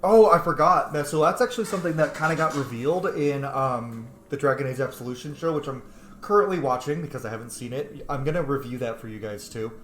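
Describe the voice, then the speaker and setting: A man's voice: medium pitch, fast talker, fairly bright tone, echoey room, a little hiss or hum. A man giving informal, enthusiastic and sweary playthrough commentary as a game streamer. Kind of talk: casual playthrough commentary with in-character asides.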